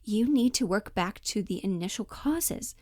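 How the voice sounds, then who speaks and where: A woman's voice: medium pitch, lively intonation, normal speed, a little mellow, dry recording, clean studio sound, lightly acted. A woman playing an earnest, mildly condescending male office worker, hesitant and placating, in a cold-read stage play. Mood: patient, philosophical.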